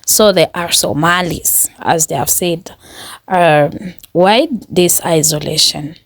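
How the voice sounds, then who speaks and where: A woman with a lowish voice talks through a reaction video in a chatty, thinking-aloud way, laughing often. The sound is dry and close. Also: takes it slowly, dry recording. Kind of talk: casual reaction commentary.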